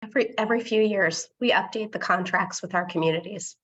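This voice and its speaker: A hoarse woman who is losing her voice explains things helpfully from outside the room. Her audio is dull and lo-fi. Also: medium pitch, fairly quick, a bit bright. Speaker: executive director. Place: remote, not in the room.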